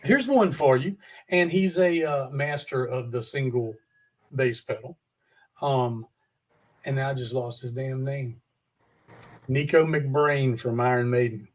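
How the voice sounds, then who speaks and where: A man's voice: mid-range voice, takes it slowly, pauses often, rough recording. A man hosting a podcast, talking in a loose, casual conversational style. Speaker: podcast host, interviewer. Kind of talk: casual interview chat.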